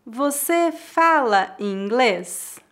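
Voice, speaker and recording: Slow, high-voiced Brazilian teacher woman. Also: lively intonation. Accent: Brazilian Portuguese. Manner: clear, deliberate enunciation for learners. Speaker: Portuguese language teacher. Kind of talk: slow pronunciation lesson.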